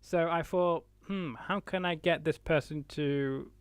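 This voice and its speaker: A man's voice: on the high side, measured pace, smooth, dry recording. A man hosting a live, unscripted interview show, chatting in a rambling, jokey way. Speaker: show host.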